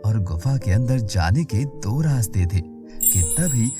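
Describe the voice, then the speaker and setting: A woman's voice: very deep voice, animated, slow, over music. A woman narrating a scripted children's moral story as the storyteller.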